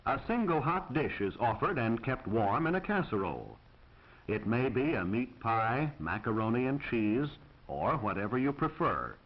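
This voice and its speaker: A man's voice: medium pitch, big pitch swings, moderate pace, muffled recording. An American man reading scripted 1940s instructional film narration in a formal, precise, instructive manner on an old film soundtrack.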